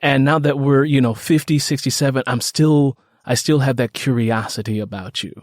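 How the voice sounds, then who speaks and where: Male podcast host, medium pitch, dry sound, conversational and somewhat rambling. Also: normal speed, no room sound. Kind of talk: conversational interview.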